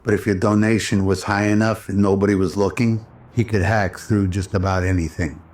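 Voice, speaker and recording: A man's voice: lowish voice, steady tone, brisk, darkish tone. A man narrating a scripted radio drama in a pulp noir storytelling style. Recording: music under the voice.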